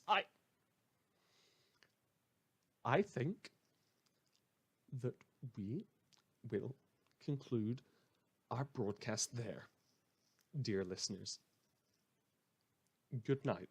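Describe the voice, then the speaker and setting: A man playing a troubled, defiant small-town radio host, delivering an earnest, halting monologue in an audio drama. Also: fairly high pitch, breathy edge, full character voice. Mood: resigned.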